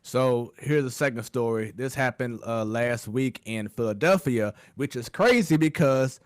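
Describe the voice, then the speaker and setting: A man's voice: mid-range voice, unhurried, dry recording, clean studio sound. A man hosting a casual sports talk show, chatting loosely with plenty of fillers and restarts.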